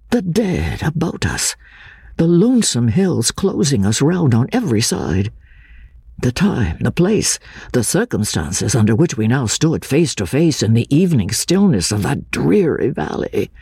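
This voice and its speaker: Husky, expressive male audiobook narrator. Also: fairly high pitch, no room sound. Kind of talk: dramatic scripted narration of classic literature.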